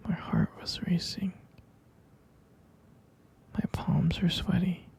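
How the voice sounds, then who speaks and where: A man playing a gentle, sleepy half-human vampire boyfriend in an ASMR roleplay, murmuring hesitant, tender reassurance close to the mic in a soft, breathy whisper. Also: sits high, fairly even intonation, takes it slowly, full character voice.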